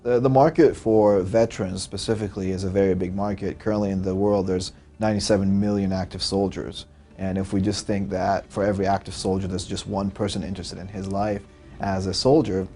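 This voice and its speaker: A man's voice: deep voice, little pitch movement, fairly quick, warm tone, soft background music. A young man, a startup founder giving earnest interview answers with frequent fillers. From Lebanon.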